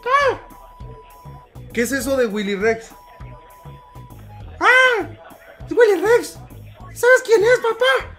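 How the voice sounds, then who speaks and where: A man's voice: extremely high pitch, wildly swooping pitch, slow, long pauses, sharp tone, faint music behind, pitched above the performer's own voice, exaggerated voice. A man voicing a whiny, overexcited young gamer boy in a squealing prank-call comedy act. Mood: excited. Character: whiny hyperactive young gamer boy turning rat-child.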